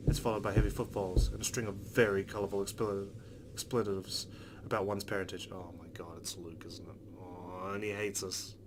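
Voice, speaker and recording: A man's voice: sits low, moderate pace, hardly any pauses. A man reading visual novel narration aloud and adding casual reactions of his own. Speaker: let's play host reading game text aloud. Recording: steady loud background noise.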